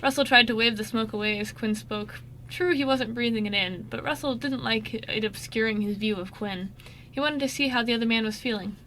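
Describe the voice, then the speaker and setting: Female radio-drama narrator, higher voice, occasional stumbles, faint noise behind. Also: a little fast, a bit bright, poor audio.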